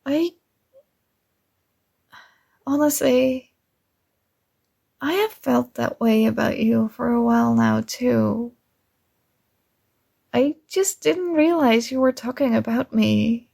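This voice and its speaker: A woman's voice: a little high, slow, gravelly, pauses often, a little mellow, breathy edge, heavily acted. A woman playing a kind, earnest and oblivious female friend in an intimate ASMR roleplay, speaking casually and a little hesitantly. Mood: shy, tender. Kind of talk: ASMR roleplay, intimate one-sided dialogue.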